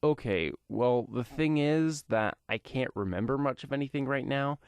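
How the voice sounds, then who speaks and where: A man's voice: mid-range voice, moderate pace, darkish tone, clear, resonant tone, dry recording, voice pushed up, close to an everyday voice. A confused young male vigilante played by a man feels his way through questions, sounding bewildered. It is an audio comic performance with a dry, close sound. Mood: confused, apologetic. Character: confused amnesiac teenage male vigilante with electric powers.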